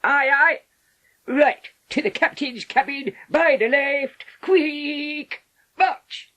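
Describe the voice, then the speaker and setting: A man doing a bossy cheery pirate mate for a storybook, high voice, slow deliberate orders. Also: pushed and bright, dull audio, heavily acted. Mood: commanding. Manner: brisk, drill-sergeant commands.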